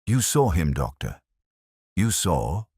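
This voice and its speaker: A man voicing a nervous, frightened gentleman in an audiobook, weak and jittery in manner. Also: sits low, very animated, slow, husky, no echo, studio quality, exaggerated voice. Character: nervous, frightened male client. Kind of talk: audiobook character acting.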